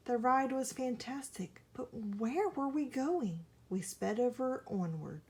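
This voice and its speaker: Mellow-voiced woman reading a children's story. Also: on the high side, lively intonation, slow. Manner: theatrical, dramatic storytelling. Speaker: storybook reader. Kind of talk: expressive children's read-aloud of a rhyming story.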